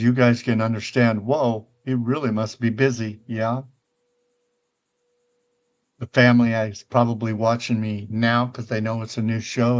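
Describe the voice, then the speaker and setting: A retired older man hosting a casual show, touring a virtual world and chatting in a rambling, conversational way, often checking in with the listener. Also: medium pitch. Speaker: show host.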